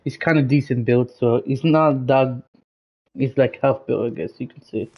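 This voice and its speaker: A man's voice: mid-range voice, normal speed, soft background music. A man giving casual gameplay commentary, muttering to himself as he plays. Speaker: gamer. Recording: dull, noisy mic with clicks.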